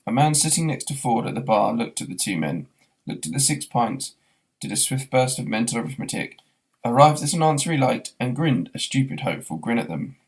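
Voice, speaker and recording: A man's voice: mid-range voice, big pitch swings, a little fast, husky, rough recording. A man reading a story aloud as scripted narration. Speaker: reader, schoolteacher.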